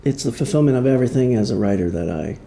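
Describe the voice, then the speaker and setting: A man giving an informal talk from notes as an author, speaking in a halting, hesitant, self-deprecating way. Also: medium pitch, animated, mellow. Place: room at a public presentation. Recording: clear background noise, room ambience.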